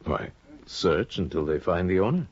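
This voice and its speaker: A sly middle-aged judge probes with a very deep, husky voice. His pitch rolls widely as he insinuates, quietly menacing. The sound is the dull, lo-fi tone of a 1950 radio play. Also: expressive, normal speed. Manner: smooth, probing, quietly menacing. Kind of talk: 1950s radio drama acting.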